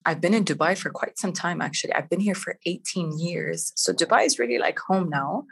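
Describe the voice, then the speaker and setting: A woman's voice: fairly low pitch, a little fast, breathy edge. A middle-aged woman from Canada, talking in an upbeat, chatty way as an interview guest, with lots of "like" and "you know". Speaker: guest entrepreneur. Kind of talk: casual interview talk.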